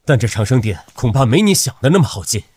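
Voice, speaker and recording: A man voicing a dignified old cultivator elder with formal, archaic speech in an animated fantasy drama. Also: mid-range voice, quick pace, breathy edge. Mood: grave, cautioning. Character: dignified, awed old cultivator father-in-law, centuries old. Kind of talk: animated xianxia drama dubbing.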